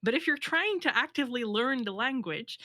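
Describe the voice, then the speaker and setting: A woman co-hosting a casual podcast, animated and laughing often, with lots of restarts. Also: fairly high pitch, a little fast, no room sound. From Netherlands. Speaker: podcast co-host. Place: living in England for ten years.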